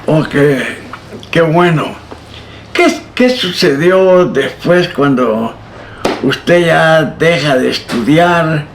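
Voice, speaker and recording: A man interviewing a pastor, asking slow, gently probing questions about his life. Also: fairly high pitch, expressive, vocal fry, slight background noise.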